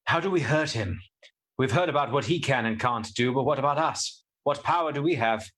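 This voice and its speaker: A man's voice: mid-range voice, a little fast, fairly bright tone, natural voice. A man playing an earnest, matter-of-fact solicitor in a radio play. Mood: doubtful.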